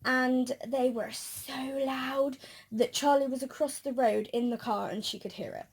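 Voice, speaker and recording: High, husky British woman vlogger. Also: steady tone, measured pace. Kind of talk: casual chat.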